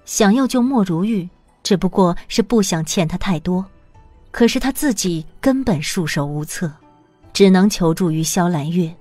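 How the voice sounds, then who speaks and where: A woman narrating an audio drama in an even, measured storytelling voice. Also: lowish voice, no echo, soft background music.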